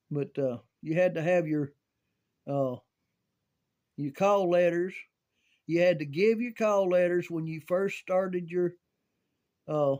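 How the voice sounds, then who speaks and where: High-voiced older American man, slow and folksy. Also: darkish tone. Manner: halting, folksy, repeats words. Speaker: storyteller sharing recollections of CB radio and volunteer rescue. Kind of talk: casual rambling reminiscence.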